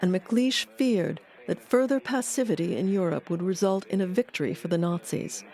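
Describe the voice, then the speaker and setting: A woman reading scripted documentary narration in a measured, articulate way. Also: fairly low pitch, dark tone.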